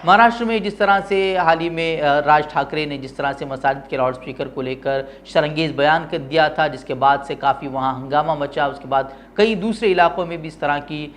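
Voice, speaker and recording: Male news anchor, higher-pitched, formal and literary wording, some noise in the recording. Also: a little fast, hardly any pauses, fairly bright tone. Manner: formal Urdu-heavy diction. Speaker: news presenter. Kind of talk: opinionated news presentation.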